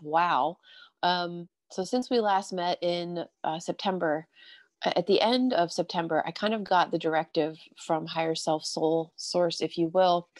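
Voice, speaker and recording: A woman's voice: on the low side, no room sound. A woman hosting a casual spiritual chat over a video call, a little stuffy from allergies, breezy and upbeat, often saying "you know" and "if you will". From California. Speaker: host.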